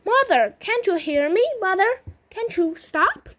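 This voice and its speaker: A woman reading a storybook and voicing a frantic mother, shrill, pleading and full of exclamations. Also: big pitch swings, measured pace, warm tone. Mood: wailing panic. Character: frantic, wailing mother alarmed at her relatives shrinking.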